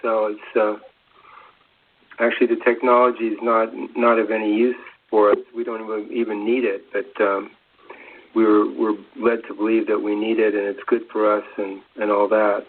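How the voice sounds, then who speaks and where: A man giving unscripted conversational commentary as a co-presenter, halting and groping for words. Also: on the low side, little pitch movement. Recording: narrow phone-like sound.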